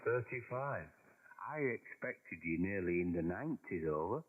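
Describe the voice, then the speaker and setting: A man chatting casually over amateur radio, halting and hesitant with many uhs. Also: sits low, lively intonation. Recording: narrow phone-like radio audio.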